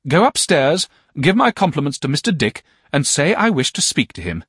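A man voicing a brusque, eccentric older aunt who barks short, sharp commands in a storybook reading. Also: fairly high pitch, very animated, normal speed, slightly rough, dry recording, studio quality, pitched above the performer's own voice, full character voice. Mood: commanding. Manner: sharp, barking exclamations. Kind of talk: audiobook character voice.

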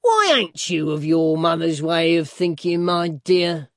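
Grotesque storybook dwarf, high voice, mocking false courtesy, malicious glee, drawn-out emphasis, dry sound. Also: male voice, lively intonation, a bit bright, no echo. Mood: sarcastic. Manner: mock-courteous, taunting, drawn-out emphatic phrasing.

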